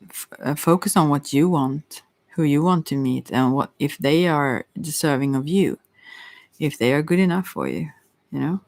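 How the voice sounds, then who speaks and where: An ASMR tarot reader talking off the cuff in a hesitant, halting way that trails off, with a creaky voice, close to the microphone. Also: medium pitch, darkish tone, dry recording. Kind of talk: ASMR tarot reading, unscripted.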